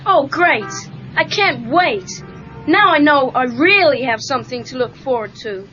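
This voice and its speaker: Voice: high-pitched, lively intonation, a little slow, bright, forceful tone, faint music behind, heavily acted. A performer playing a skeptical teenage boy who is learning karate, talking informally and with some sarcasm in an audio drama. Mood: sarcastic, worried. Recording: muffled lo-fi read-along cassette tape.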